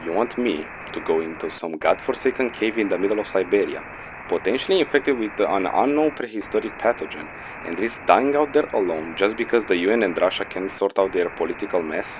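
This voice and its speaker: Man playing a very deep-voiced, jaded mercenary. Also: expressive, fairly quick. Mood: incredulous, sarcastic. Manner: sarcastic, grumbling, blunt. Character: jaded, sardonic male mercenary codenamed Phantom. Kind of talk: audio log drama acting for a game. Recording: dull lo-fi audio, noisy vehicle-like background.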